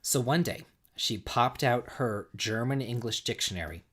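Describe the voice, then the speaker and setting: Man in his forties, livestream host, tipsy, rambling, repeats himself, pitch swinging up and down. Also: expressive, unhurried, fairly bright tone, breathy edge. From United States. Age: 40s. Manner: rambling, repeats himself, reads chat aloud. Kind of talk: casual livestream chat and storytelling.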